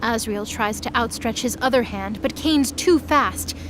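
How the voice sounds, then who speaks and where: A woman's voice: a little high, unhurried, background noise. A woman delivering dramatic scripted narration as the narrator of an audio drama.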